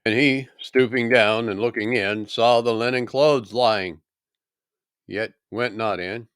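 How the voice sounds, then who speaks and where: Male scripture narrator: solemn, deliberate, expressive delivery, clean resonant tone, tight dry sound. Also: medium pitch, smooth, no echo. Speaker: scripture reader. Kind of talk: scripted narration, dramatic Bible reading.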